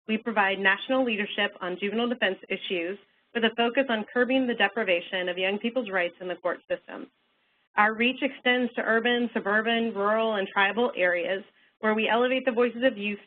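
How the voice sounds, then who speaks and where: A woman with a cold, sounding hoarse, giving a prepared webinar presentation with fillers and throat clearing. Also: mid-range voice. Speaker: webinar presenter, legal counsel. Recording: tinny phone-line audio with faint hiss.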